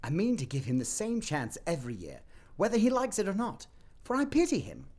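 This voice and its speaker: A man playing a jovial, good-tempered young nephew in an audio play, hearty, exuberant and loudly declaiming. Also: sits high, huge pitch swings, a little fast, voice pushed up, exaggerated voice. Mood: cheerful, determined.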